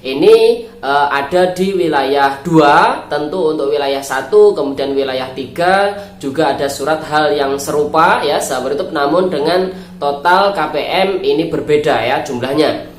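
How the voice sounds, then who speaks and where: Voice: mid-range voice, moderate pace. Nasal, congested Indonesian YouTube host, echoey room. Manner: addresses viewers repeatedly, explanatory. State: stuffed-up nose from flu. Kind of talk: informational vlog narration, reading out an official letter. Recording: roomy echo on the voice.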